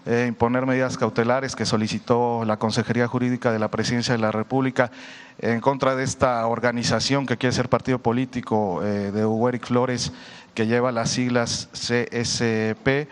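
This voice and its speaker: A man's voice: mid-range voice, little pitch movement, moderate pace, clean tone. A man, a reporter, asking a long question at a press conference with hesitant fillers.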